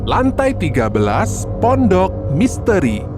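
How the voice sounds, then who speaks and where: A storyteller narrating a scripted children's tale in an expressive, deliberate manner. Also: medium pitch, music in the background.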